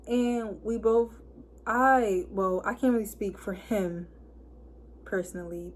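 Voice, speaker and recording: A hoarse woman of twenty-one, chatting casually to camera and packing her talk with fillers. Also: mid-range voice, a little mellow, slight background noise. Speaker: vlogger. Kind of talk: casual story time chat.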